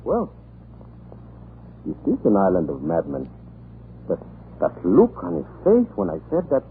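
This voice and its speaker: An actor playing a talkative, irreverent Russian government official in a radio drama, chatty, excitable and theatrical. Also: male voice, fairly low pitch, measured pace, vocal fry, exaggerated voice. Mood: alarmed. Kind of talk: 1940s radio drama acting. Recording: muffled, noisy old radio recording.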